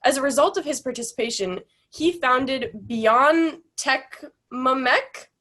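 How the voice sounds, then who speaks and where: A woman's voice: high-pitched, animated, slow, bright, forceful tone. A woman moderating an online panel over a video call, reading the guest introductions in an upbeat way.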